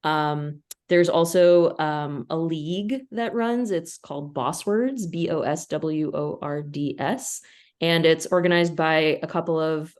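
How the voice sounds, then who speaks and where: A woman, a crossword constructor, explaining fluently and with enthusiasm in an interview. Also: low-pitched. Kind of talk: casual interview answer.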